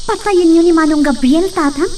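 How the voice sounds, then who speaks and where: An actress playing an earnest, gentle young daughter who pleads with her father, recorded from a distance. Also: very high voice, normal speed, slight background noise. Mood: pleading.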